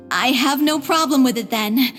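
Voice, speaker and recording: A woman's voice: sits high, bright, forceful tone, long reverb tail, heavily acted. A woman playing an earnest young troupe actress in a video game, speaking politely and sincerely. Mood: grateful.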